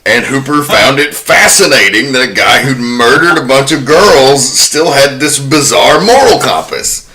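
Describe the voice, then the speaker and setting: A man's voice: mid-range voice, expressive, unhurried, hardly any pauses, pushed and bright, poor audio. A man hosting a podcast, casually explaining film history in an informal, sometimes profane way. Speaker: podcast host.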